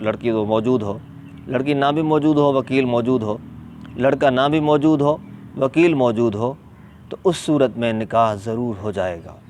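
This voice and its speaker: A Pakistani man speaks as an Islamic scholar giving a ruling, at a slow, measured pace. He is deliberate and emphatic and returns to his key points. His voice sits at a middle pitch, with some ambient noise behind it. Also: darkish tone. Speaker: Islamic scholar answering religious questions. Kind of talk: religious ruling explained as a spoken lesson. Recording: clear background noise, ambient vehicle rumble.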